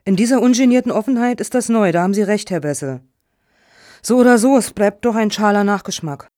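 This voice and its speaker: A woman acting in a radio satire as a brisk, formal foundation juror with a businesslike, measured manner. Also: mid-range voice, lively intonation, mellow, no echo, clean studio sound, natural voice. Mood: resigned.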